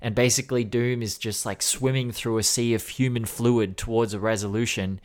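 A man talking through an album on a podcast, earnest and analytical. His voice sits fairly low. He sounds enthusiastic, with a few fillers along the way. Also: clear, resonant tone. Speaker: podcast co-host. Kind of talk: album review discussion.

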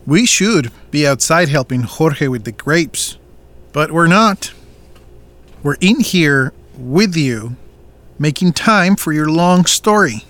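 A stern co-owner of a family farm in an audio drama. His voice sits a little high for a man, and he speaks firmly and deliberately, pressing his questions. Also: animated, a bit bright. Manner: firm, measured, confronting.

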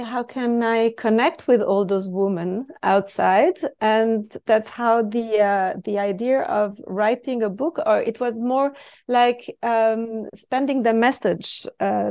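French-accented woman, creaky voice. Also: mid-range voice, darkish tone. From Switzerland. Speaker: podcast guest, expat coach. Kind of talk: conversational interview.

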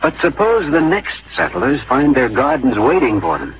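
A man in a 1950s radio drama playing a gentle, devout orchard planter, earnest and lyrical in his conviction. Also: mid-range voice, very animated, moderate pace, lightly acted. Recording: dull old radio broadcast, music behind.

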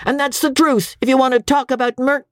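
A woman voicing a raging older riverboat showman who shouts in a squeaky falsetto. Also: fairly even intonation, fairly quick, no echo, clean studio sound, lightly acted. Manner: sputtering, shouting in fury.

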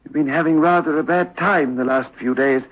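Male actor as commanding asylum doctor, insistent, fairly high husky voice, very muffled vintage radio play. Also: lightly acted. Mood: firm. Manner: stern, deliberate, commanding. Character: authoritative, insistent sanatorium doctor, firm and probing. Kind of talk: 1960s radio drama acting. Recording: muffled, lo-fi old radio recording.

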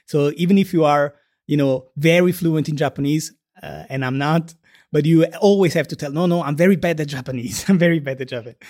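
A man's voice: a little high, brisk, a little mellow, no echo, studio quality. A man co-hosting a podcast in casual conversation, hesitant and restarting words with many "uh"s. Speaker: podcast co-host.

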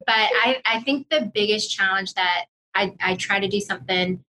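A woman telling personal stories as an interview guest, sincere and halting, restarting phrases for emphasis. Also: mid-range voice, bright, forceful tone, a little hiss or hum, low-quality recording. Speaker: podcast guest, corporate executive. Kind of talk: personal storytelling in casual interview.

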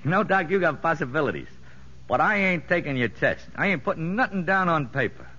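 A sly convict safecracker from a vintage radio play. His voice is sharp and very animated, full of street slang and feigned innocence. The recording is dull and aged. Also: a little high, brisk. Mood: sly, cocky. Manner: streetwise slangy talk, mock-earnest. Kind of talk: 1950s radio drama acting. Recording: muffled vintage radio broadcast.

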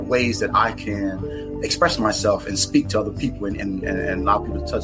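An American man being interviewed about his book, speaking in an earnest, reflective and halting way. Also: on the low side. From United States. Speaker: author guest. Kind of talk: podcast interview. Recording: music playing underneath.

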